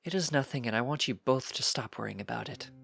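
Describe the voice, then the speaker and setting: A man voicing a sensible, proper mother pony in a storybook, precise and formal, calm but firm. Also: medium pitch, fast talker, vocal fry, slightly rough, dry recording, clean studio sound, soft background music. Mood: reassuring, composed.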